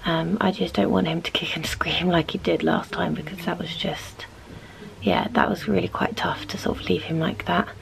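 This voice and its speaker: A woman's voice: fairly low pitch, fairly even intonation, normal speed, gravelly, poor audio. A woman, half-whispering casual chat while lying in bed with a stuffy nose and a sore throat, recorded on a camera close to her pillow. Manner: half-whispered, sleepy morning talk from bed. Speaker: vlogger.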